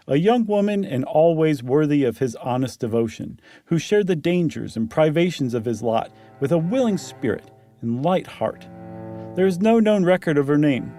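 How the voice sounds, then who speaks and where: A man with a warm, relaxed, mid-pitched voice co-hosts a podcast, reading a horror tale aloud with casual asides. He is recorded very dry, with faint music underneath. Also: dry recording. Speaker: podcast co-host.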